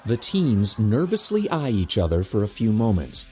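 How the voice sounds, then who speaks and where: Low-voiced, expressive male narrator. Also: normal speed, soft background music. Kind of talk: dramatic scripted narration.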